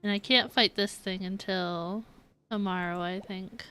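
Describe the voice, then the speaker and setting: A woman's voice: mid-range voice, steady tone, measured pace, bright, forceful tone, clean tone. A woman game streamer chatting casually, musing aloud and indecisively to her viewers. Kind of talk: casual livestream chat.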